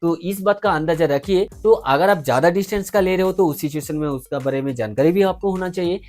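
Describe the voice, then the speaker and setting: A man's voice: high-pitched, fast, a little mellow. A man hosting an informal product review, explaining things directly to viewers. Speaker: video host.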